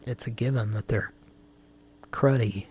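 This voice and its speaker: A man's voice: medium pitch, fairly even intonation, measured pace, darkish tone, slight background noise. A man hosting a prank-call show, chatting casually in a rambling, jokey and profane way. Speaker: host. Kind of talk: casual chat.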